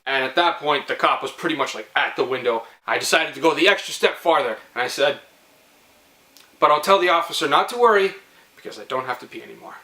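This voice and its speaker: A man's voice: fairly high pitch, expressive, fast talker, sharp tone, reverberant. A young man from Canada hosting a casual storytelling video, talking in a playful, rambling, informal way. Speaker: host of a storytelling video series. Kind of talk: casual comic storytelling to viewers.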